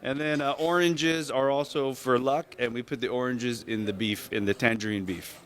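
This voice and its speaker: A man, a chef, explaining symbolic holiday dishes to reporters in a relaxed way. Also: mid-range voice, normal speed. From United States, Thai Chinese family. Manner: relaxed, explanatory, checks in with "right?" and "okay?". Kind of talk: explaining a menu to press.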